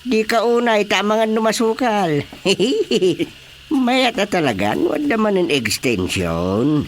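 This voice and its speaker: Animated Ilocano village chairman, highish voice. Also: slow pace, a little hiss or hum, exaggerated voice. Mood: cautioning. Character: middle-aged village chairman, easygoing, cautious. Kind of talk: Filipino comedy radio drama acting.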